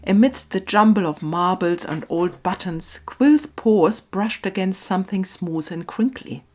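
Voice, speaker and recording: A woman hosting a craft video, talking in a musing, unhurried storytelling way. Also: mid-range voice, a little mellow. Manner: musing, hesitant, thinking aloud. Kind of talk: casual craft narration and slow storytelling.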